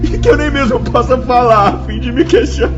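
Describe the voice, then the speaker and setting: A man's voice: extremely high pitch, a little fast. An actor playing a repentant man's spirit, sobbing and pleading for mercy in a radio drama. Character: anguished repentant man spirit begging mercy. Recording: dull lo-fi sound under loud music.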